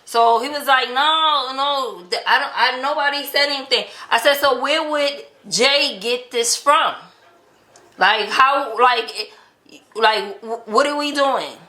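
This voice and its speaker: A woman's voice: sits high, takes it slowly, bright, forceful tone. A woman telling a personal story in casual conversation, acting out quoted dialogue as she goes. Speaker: vlog host telling relationship stories. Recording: echoey untreated room.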